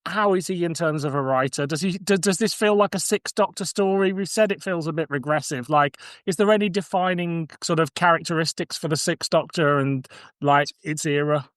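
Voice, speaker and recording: A man's voice: on the high side, fairly quick, a bit bright, no echo, clean studio sound. A man hosting a podcast in casual chat, with a blunt, sardonic way of talking.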